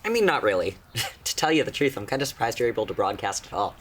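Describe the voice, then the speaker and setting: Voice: mid-range voice, animated, fast, a little hiss or hum, close to an everyday voice. An actor playing a smug, taunting old friend who has turned to a destructive power, speaking casually and with amusement in a horror drama. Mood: wry, amused. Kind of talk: conversational horror audio drama acting.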